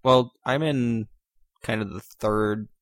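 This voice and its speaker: A man's voice: mid-range voice, steady tone, slow pace, no room sound, clean studio sound. A man with a froggy voice from allergies, giving laid-back, brief answers in casual chat.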